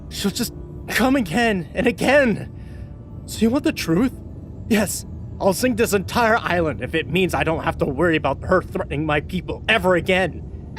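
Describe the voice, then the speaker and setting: A man playing a hot-tempered, overbearing warrior king in an audio drama, commanding and indignant. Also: high voice.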